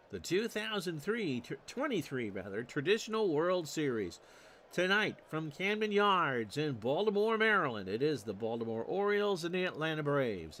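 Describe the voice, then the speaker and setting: A man's voice: a little high, fairly bright tone, a little hiss or hum. Folksy man calling a simulated ball game. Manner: folksy and self-correcting, jokes at his own slips. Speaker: host and play-by-play caller of a simulated baseball replay. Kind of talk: solo tabletop sports simulation commentary streamed live.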